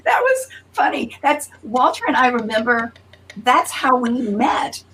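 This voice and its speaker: An older woman telling a story in a casual interview, lively, with frequent laughs and restarts. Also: a little high, measured pace, slight background noise. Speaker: interview guest.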